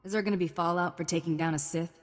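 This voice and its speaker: A woman playing a cocky, principled, dry-witted alien bounty hunter in a video game, with a husky voice. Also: on the low side, steady tone, quick pace, long reverb tail, lightly acted. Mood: serious.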